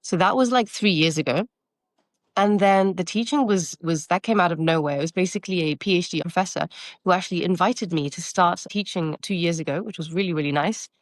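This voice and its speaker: A woman's voice: on the low side, fairly quick, no room sound. A woman in her thirties who grew up in France, with Taiwanese as her first language, answering interview questions reflectively with fillers and restarts. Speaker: marketing consultant and guest.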